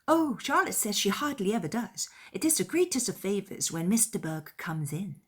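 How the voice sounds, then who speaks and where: A woman voicing an excitable, naive young woman in a dramatized classic novel, breathless and gushing. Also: medium pitch, normal speed, breathy edge. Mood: shocked, gossipy.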